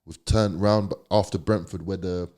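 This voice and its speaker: A man with a London accent and a deep voice, telling a personal story as a podcast guest in slangy, emphatic conversation. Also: steady tone, moderate pace, darkish tone. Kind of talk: candid storytelling.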